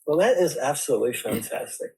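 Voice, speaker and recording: A man from the United States hosting an interview podcast. His voice is high and husky, and he stops and restarts often, full of fillers and a playful streak. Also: very animated, quick pace, warm tone. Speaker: podcast host.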